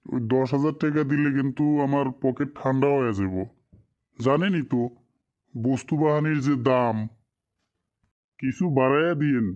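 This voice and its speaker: A man's voice: mid-range voice, moderate pace, long pauses, a little mellow, lo-fi sound, close to an everyday voice. A man voicing a greedy, fawning office henchman in a cartoon drama, sly and deferential, in a rural Bengali dialect. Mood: wheedling. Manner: deferential, wheedling, conspiratorial. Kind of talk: amateur cartoon drama dialogue.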